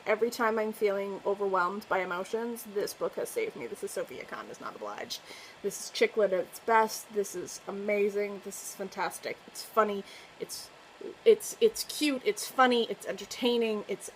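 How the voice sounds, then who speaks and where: A woman's voice: medium pitch, slight background noise, poor audio. A woman book vlogger chatting casually to her viewers, gushing and effusive and laughing often. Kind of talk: casual chat to camera.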